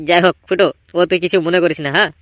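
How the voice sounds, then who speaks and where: A woman's voice: on the low side, steady tone, brisk, no echo, clean studio sound, natural voice. A woman voicing a beleaguered, self-pitying young male gang leader in a comedy cartoon, aggrieved and scolding. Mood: mournful. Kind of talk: cartoon voice acting.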